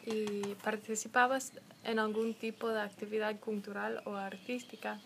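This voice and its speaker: A woman interviewer putting prepared questions in Spanish in a careful, halting way. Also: medium pitch, flat delivery, creaky. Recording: loud background noise with clicks.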